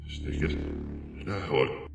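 Cavernous, extremely deep slow creature. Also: male voice, distant mic, background noise, full character voice. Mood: solemn, eerie. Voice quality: very deep, gravelly. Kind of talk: science fiction audio drama creature voice. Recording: cavernous long reverb, loud music behind. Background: loud music.

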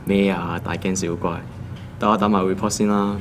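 An actor playing a young office worker who grumbles and answers back casually in a radio drama. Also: male voice, sits low, level tone, moderate pace, slight background noise, natural voice. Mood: irritated. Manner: grumbling, casual, dry retorts. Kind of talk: Cantonese radio drama acting.

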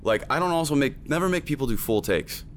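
A man's voice: mid-range voice, animated, fast, a little hiss or hum. A man working as a music producer and teacher, talking emphatically in a casual chat, with lots of fillers.

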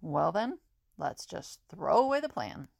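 A woman's voice: on the low side, big pitch swings, unhurried, gravelly, darkish tone, no room sound, heavily acted. A woman playing a practical, serious, organized character in an audio drama. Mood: matter-of-fact.

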